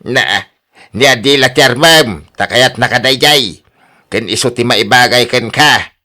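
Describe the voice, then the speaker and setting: A man plays a teasing, persuasive village buddy in a Filipino radio drama. His mid-pitched voice is sharp and forward, needling and skeptical, with a Filipino accent. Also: unhurried. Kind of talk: Ilocano radio drama acting.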